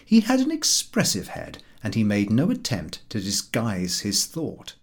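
A man narrating a humorous literary story from a script in an expressive storytelling manner. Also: fairly low pitch, unhurried. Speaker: audiobook narrator.

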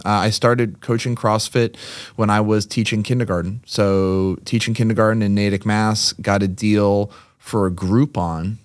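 A man's voice: lowish voice, no room sound, studio quality. A man, a podcast guest telling stories in a chatty, joking way. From Massachusetts. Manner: chatty storyteller, joking asides. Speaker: podcast guest, CrossFit gym owner. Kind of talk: casual chat.

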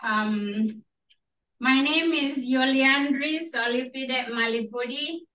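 A woman's voice: high voice, slow, fairly bright tone, recorded from a distance. A woman from Indonesia, a guest pastor, telling her testimony at a church gathering, laughing often and affirming with amens. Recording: roomy echo, mic from afar.

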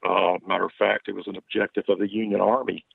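Older man, light southern accent, low gravelly voice, folksy and rambling, tinny phone-line sound. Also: flat delivery, fast talker, dry recording. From Baltimore, Maryland. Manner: folksy, rambling, chuckles often. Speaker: ghost tour owner. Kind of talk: podcast interview over a call.

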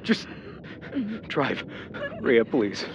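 A man's voice: mid-range voice, expressive, drawn-out words, highs cut off, noisy background. An actor playing a tender, anxious young man, a devoted musician, in an audio drama. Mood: panicked. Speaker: lead actor in supernatural mystery audio drama. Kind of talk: audio drama acting and reflective narration.

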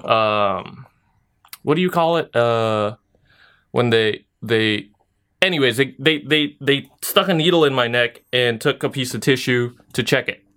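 A man's voice: mid-range voice, measured pace, a bit bright, smooth. Laid-back young man telling a story. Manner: loose, joking storyteller who laughs often. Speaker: podcast co-host. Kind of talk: casual podcast chat.

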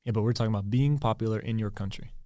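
A man's voice: lowish voice, racing, no room sound, clean studio sound. A man in his thirties from Texas with an American accent, talking casually as a podcast guest and rambling as he thinks aloud. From Texas, USA. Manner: relaxed, rambling, repeats words while thinking. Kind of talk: casual conversation.

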